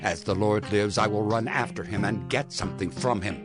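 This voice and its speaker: An actor playing a sly, greedy servant in a dramatized scripture production. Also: male voice, on the low side, moderate pace, over music, lightly acted. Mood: scheming. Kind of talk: dramatized scripture audio acting.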